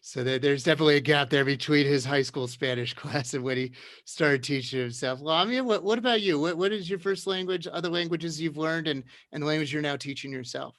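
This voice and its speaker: A man's voice: on the high side, brisk, hardly any pauses, fairly bright tone, no room sound, studio quality. A man moderating an online round-table, chatty and encouraging, often restarting his questions.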